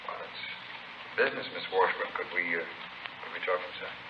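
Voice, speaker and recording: A man's voice: deep voice, normal speed, close to an everyday voice. An actor playing a polite, evasive drug peddler who passes himself off as a businessman in a vintage radio drama. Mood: polite, guarded. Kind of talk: 1950s radio drama acting. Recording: noisy, muffled old radio recording.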